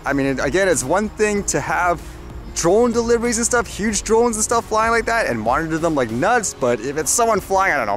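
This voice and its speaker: A man's voice: a little high, a bit bright. A man giving casual, off-the-cuff commentary in a rambling, conversational way, as a vlogger would.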